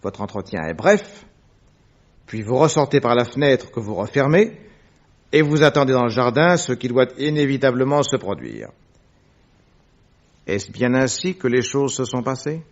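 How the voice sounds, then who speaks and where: A man's voice: medium pitch, animated, normal speed, pauses often. A man playing a shrewd, commanding detective in a French radio mystery, speaking with precise, methodical, theatrical assertiveness. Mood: methodical, stern. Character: shrewd, commanding male detective laying out an accusation. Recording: dull, lo-fi old radio recording.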